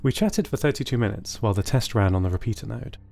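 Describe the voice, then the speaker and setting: A man playing a gentle, anxious sentient computer, narrating its story in a measured, earnest way. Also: on the low side, lively intonation, gravelly, soft background music. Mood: calm, explanatory. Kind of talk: scripted first-person audio drama narration.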